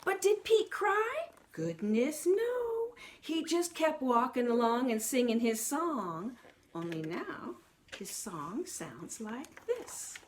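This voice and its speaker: A woman tells a picture-book story for young children in a high-pitched voice. She goes very slowly, in an animated storytime manner that invites listeners to join in. There is faint noise in the recording. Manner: animated, asks listeners questions, exclaims. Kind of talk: read-aloud picture book for young children with sing-along songs.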